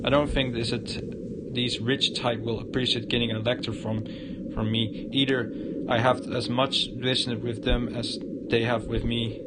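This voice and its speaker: A man livestreaming a visual novel, reading the narration aloud in a halting way with stumbles and repeated words. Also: mid-range voice, little pitch movement, heavy background noise. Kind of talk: live narration of game text. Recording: loud music behind the voice. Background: game music.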